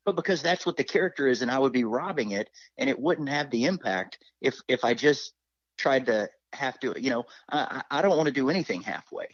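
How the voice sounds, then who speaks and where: Male co-host, medium pitch, rambling and affirming, muffled dry recording. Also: animated, fairly quick, dry recording. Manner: rambling, affirming, often tags phrases with "right". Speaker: interviewer, co-host. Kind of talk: conversational interviewing.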